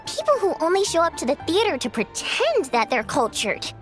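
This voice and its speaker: Touchy female theater singer, very high pitch, theatrical, animated intonation, game dialogue, music underneath. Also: brisk, exaggerated voice. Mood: indignant. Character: proud female theater singer, easily offended, indignant. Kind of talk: scripted video game dialogue.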